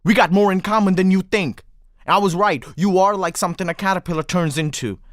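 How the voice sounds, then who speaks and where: Earnest young charmer, close and dry. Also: male voice, high voice, brisk, fairly bright tone, dry recording. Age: young adult.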